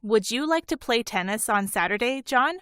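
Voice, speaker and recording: A woman's voice: fairly high pitch, lively intonation, moderate pace, bright, forceful tone, clear, resonant tone, no echo, studio quality. A woman reading a scripted listening-test dialogue with careful delivery. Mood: friendly.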